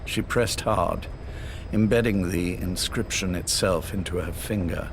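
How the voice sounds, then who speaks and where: Over a loud engine-like rumble, an older spymaster from a dramatic video game. His voice sits fairly low. He lectures with cold, formal assurance, measured and visionary. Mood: solemn. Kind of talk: scripted dramatic game dialogue.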